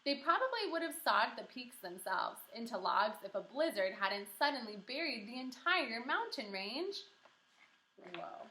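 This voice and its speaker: A woman teaching kindergarten through a read-aloud lesson, encouraging and animated, asking questions and pausing for answers. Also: on the high side. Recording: roomy echo.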